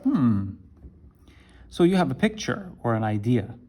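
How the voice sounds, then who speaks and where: A man teaching an English lesson to camera, talking slowly and deliberately and checking in with "right?". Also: mid-range voice, big pitch swings, dark tone. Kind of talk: explaining a language lesson to camera.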